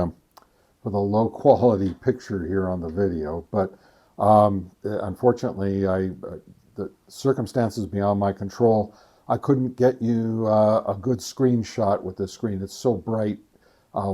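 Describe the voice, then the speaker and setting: A man hosting a casual product test, talking in a methodical, halting way and reading out figures deliberately. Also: lowish voice, mellow. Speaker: video host, radio reviewer.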